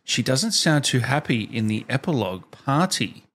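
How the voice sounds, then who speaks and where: A man's voice: medium pitch, sing-song, moderate pace, fairly bright tone. A man in his thirties hosting a casual interview, speaking into a close desk microphone in a small home studio. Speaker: interview host.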